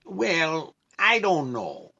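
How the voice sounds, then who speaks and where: Man playing a slow, bright-voiced droll radio comic. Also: fairly high pitch, sing-song, gravelly, highs cut off, heavily acted. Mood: reluctant. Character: droll hesitant male radio comedian as disc jockey sidekick. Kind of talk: 1940s radio comedy acting.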